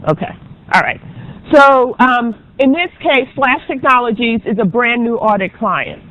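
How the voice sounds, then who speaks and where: Loud chatty woman lecturing a class. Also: fairly high pitch, expressive. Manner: loud, chatty, brisk teacher with frequent ums. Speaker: auditing professor. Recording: dull lo-fi lecture recording.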